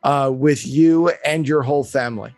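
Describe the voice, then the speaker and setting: A middle-aged man hosting a podcast, asking a guest questions in a measured, friendly way. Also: mid-range voice, a little mellow, dry recording, faint music behind. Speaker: podcast host and player agent. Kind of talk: interview questions.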